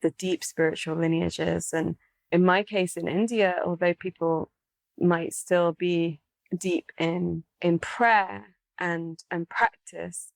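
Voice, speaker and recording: A woman hosting a podcast, interviewing in a reflective, conversational way with thoughtful, unhurried phrasing. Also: on the low side, fairly even intonation, vocal fry, no room sound. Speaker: podcast host.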